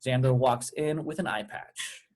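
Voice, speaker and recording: Male narrator, medium pitch, reading stage directions for a cast table read. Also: a little slow, a little mellow.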